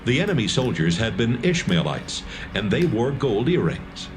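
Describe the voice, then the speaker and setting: A man narrating a dramatised scripture reading with a measured storytelling delivery. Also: medium pitch, sing-song, background noise.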